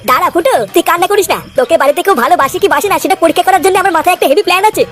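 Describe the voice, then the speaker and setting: A man voicing a sympathetic, scheming streetwise friend in a comic cartoon, advising earnestly in colloquial speech with a pitched-up voice. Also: rapid-fire, faint music behind. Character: streetwise older pal, sympathetic scheming club leader.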